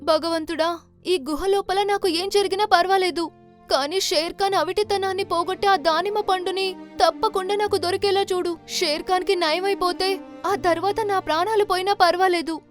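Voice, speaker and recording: Cartoon jackal: tiny high voice, selfless, earnest and emotional. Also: female voice, steady tone, normal speed, faint music behind. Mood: worried, pleading. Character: kind, innocent, self-sacrificing jackal in a children's fable. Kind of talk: animated children's story acting.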